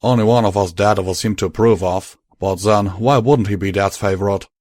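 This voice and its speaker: A man voicing a young male pegasus sharpshooter who talks bluntly and loudly. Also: lowish voice, steady tone, a little fast, no echo, studio quality, close to an everyday voice.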